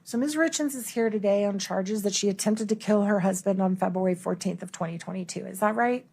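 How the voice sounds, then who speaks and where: A woman's voice: mid-range voice, brisk, vocal fry, darkish tone, slightly rough. A woman lawyer questioning a witness in a courtroom, formal, pressing and insistent. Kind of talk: courtroom cross-examination.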